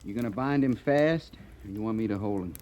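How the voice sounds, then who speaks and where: An actor playing a folksy, grumbling cavalry sergeant with a rustic American accent in an old-time radio western. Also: male voice, fairly low pitch, a little fast, dark tone, muffled recording, a little hiss or hum, lightly acted.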